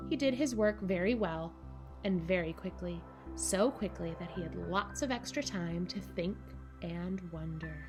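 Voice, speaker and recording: A woman narrating a children's picture book in measured, deliberate phrases with dramatic pauses. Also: on the low side, music in the background. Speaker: storybook reader for children.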